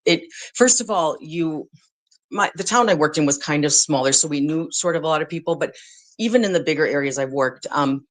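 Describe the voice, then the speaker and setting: An American speaker explaining things informally as a guest, in a rambling, conversational and blunt way. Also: medium pitch. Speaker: guest, former social services worker.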